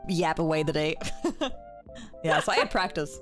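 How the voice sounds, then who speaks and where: Candid woman with a lowish voice. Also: animated, unhurried, soft background music. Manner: candid, reflective, laughs often. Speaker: VTuber podcast co-host. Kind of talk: casual livestream podcast chat.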